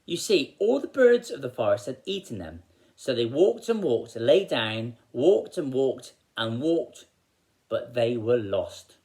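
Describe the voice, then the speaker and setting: A man reading aloud as a storyteller for children, in an expressive style. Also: medium pitch, a little slow, poor audio.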